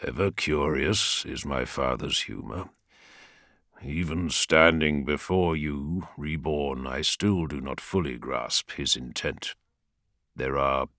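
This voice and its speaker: Man voicing a solemn demigod lord, very low and quiet, measured and grave, dry recording. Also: dry recording, full character voice.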